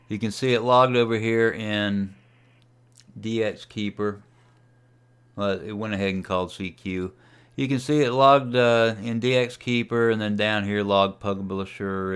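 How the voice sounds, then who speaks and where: A man's voice: lowish voice, clear, resonant tone. A man giving a casual, unscripted screen-share tutorial as a radio hobbyist, thinking aloud as he operates. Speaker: amateur radio hobbyist demonstrating software.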